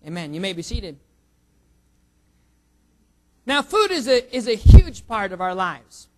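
A high-voiced younger man preaching from the pulpit. He teases himself and the room with food jokes, then leans hard on key words in sudden loud bursts, and slips into a prayer. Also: big pitch swings, a little slow. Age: under 45. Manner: jokey, self-teasing, surging loud emphasis. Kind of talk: sermon with prayer.

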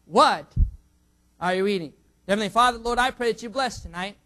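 A younger man preaching a sermon and leading a prayer, joking about food with sudden loud bursts of emphasis. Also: high-pitched, a little fast. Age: under 45. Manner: jokey, self-teasing, surging loud emphasis.

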